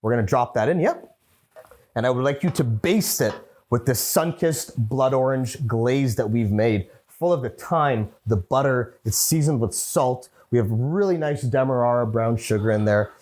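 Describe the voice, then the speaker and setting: A man's voice: medium pitch, normal speed, a little mellow. A man hosting a cooking show, playful and instructive as he talks viewers through a recipe. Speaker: chef and host. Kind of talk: upbeat cooking show presenting.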